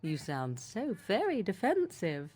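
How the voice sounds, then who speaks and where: A woman's voice: mid-range voice, huge pitch swings, unhurried, a little mellow, soft background music. A woman playing a haughty, mocking sorceress in a video game, with archaic, sardonic, needling phrasing. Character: haughty, mocking wild sorceress with a sly tongue.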